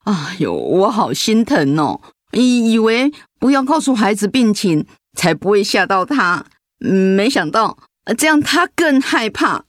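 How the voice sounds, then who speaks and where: A woman's voice: medium pitch, very animated, no room sound, clean studio sound. An actress playing a sick, easily hurt wife and mother in a radio drama, with a Taiwanese accent and an insistent, whiny, emotive delivery. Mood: contrite, grateful. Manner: whiny, emotive, with drawn-out sentence particles.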